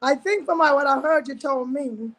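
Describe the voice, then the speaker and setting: High-voiced woman bantering over sports. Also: moderate pace. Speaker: co-commentator. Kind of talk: casual chat and sports commentary.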